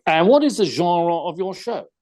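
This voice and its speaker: A man playing a shrewd, unruffled gentleman detective in an audio theater mystery, speaking precisely with formal, wry phrasing. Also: sits high, sing-song, normal speed. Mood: probing, wry.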